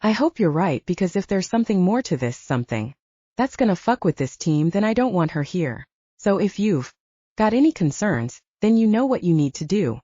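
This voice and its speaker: A woman's voice: medium pitch, sing-song, normal speed, mellow, no room sound, lo-fi sound. A woman voicing a stern, short-tempered male leader of a werewolf military team in an audiobook, with a curt, commanding manner. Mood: grim, demanding.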